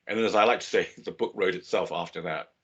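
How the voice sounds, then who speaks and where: A man's voice: low-pitched, breathy edge. Older man lecturing over a dull webinar line. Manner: measured, explanatory, with fillers and restarts. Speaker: business school professor giving a webinar.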